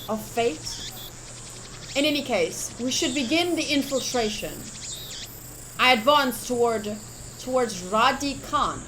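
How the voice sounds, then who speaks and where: A woman livestreaming a visual novel playthrough, reading the text aloud with excitable, playfully dramatic and casual commentary. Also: on the high side, background noise. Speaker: streamer playing a visual novel.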